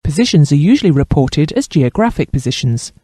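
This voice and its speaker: Male instructional narrator, scripted, formal, measured, higher-pitched, very dry close sound. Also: big pitch swings, mellow, dry recording. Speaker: training narrator.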